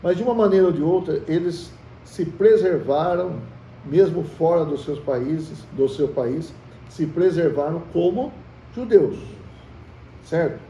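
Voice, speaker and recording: A man with a Brazilian Portuguese accent leads a church Bible study, quizzing his listeners and calling them brothers. His voice sits a little high but sounds mellow. He is heard from across a reverberant room. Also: lively intonation, unhurried, dull audio. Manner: conversational, quizzes the group with questions. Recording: echoey room, from far off, faint hiss.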